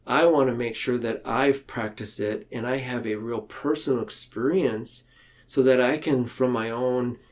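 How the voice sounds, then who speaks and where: A man speaking as a podcast guest in a reflective, conversational way, earnest and halting, tending to ramble. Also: medium pitch, fairly even intonation. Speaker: podcast guest, mental health counselor. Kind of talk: reflective conversational interview talk.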